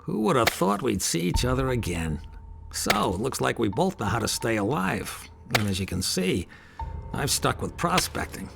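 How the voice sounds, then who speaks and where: An actor voicing a weathered, stubborn veteran miner in a game, with a gravelly, world-weary manner. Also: male voice, medium pitch, very animated, normal speed, over loud music. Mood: gruff. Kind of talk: game character dialogue.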